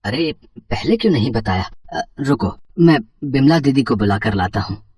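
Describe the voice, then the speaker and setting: A man's voice: medium pitch, expressive, moderate pace, darkish tone, husky, no echo, distant mic. A man playing a humble, devout, emotional potter and father in a moral story, with a reverent, pleading delivery. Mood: concerned. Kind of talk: acted dialogue in a Hindi moral story.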